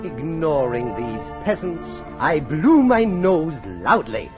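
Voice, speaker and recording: An actor playing a vain, fussy self-styled great man who tells his own life story in halting, theatrical 1940s radio comedy style. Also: fairly high pitch, sing-song, over loud music. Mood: grandly self-satisfied. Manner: pompous, halting, theatrical delivery. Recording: muffled old 1940s radio recording.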